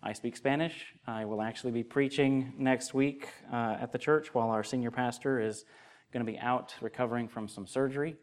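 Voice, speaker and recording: Earnest young pastor preaching a sermon. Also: male voice, mid-range voice, steady tone. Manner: earnest, measured, grows more emphatic. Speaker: associate pastor. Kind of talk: sermon with opening prayer.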